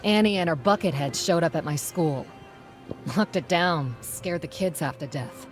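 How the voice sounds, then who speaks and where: An actress playing a spirited young rebel schoolteacher in a video game, plain-spoken, folksy and earnest. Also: female voice, on the low side, normal speed, soft background music, natural voice. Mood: determined. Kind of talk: video game character dialogue.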